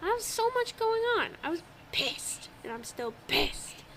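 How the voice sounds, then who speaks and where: High-voiced woman, stuffy nose. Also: sing-song, measured pace, vocal fry, very noisy. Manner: chatty, swears freely, laughs often. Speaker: podcast co-host. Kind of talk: casual chat. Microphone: old home microphone.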